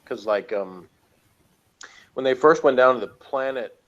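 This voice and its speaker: A man's voice: medium pitch, measured pace, fairly bright tone, dull audio. A man chatting casually as a guest reviewer, slangy and joking, often hesitant in his phrasing.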